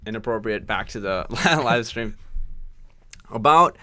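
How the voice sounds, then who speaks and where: A man's voice: on the low side, sharp tone. Young male stream host, from Pakistan, twenties, chatty, laughs easily, casual coding tips. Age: 20s. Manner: laughs often, quick and chatty. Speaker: programming livestream host.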